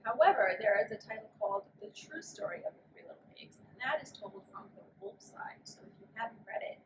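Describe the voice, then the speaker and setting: A woman's voice: a little high, expressive, fast talker, in a whisper. Woman, children's librarian, explanatory book talk, echoey, off-mic, noisy background. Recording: roomy, distant mic, clear background noise.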